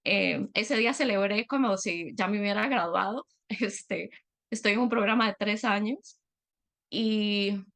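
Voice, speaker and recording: A middle-aged woman from Latin America giving a personal talk as a guest speaker, reflective and earnest, often pausing on fillers. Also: medium pitch, creaky, fairly bright tone.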